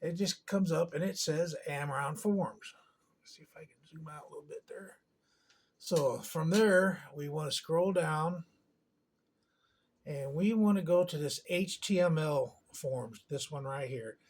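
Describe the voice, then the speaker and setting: A man giving an informal step-by-step tutorial in a halting, unscripted way, thinking aloud as he goes. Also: a little high, husky. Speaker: tutorial presenter. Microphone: camera on a tripod.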